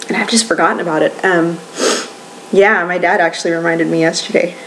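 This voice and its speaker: A young woman in her twenties talks casually at home, drifting from one thought to the next with frequent ums and soft laughs. Her voice sits a little low for a woman, and a faint hiss runs quietly underneath. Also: fairly even intonation, a little fast, poor audio. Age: 20s. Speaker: vlogger. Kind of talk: casual chatty vlog.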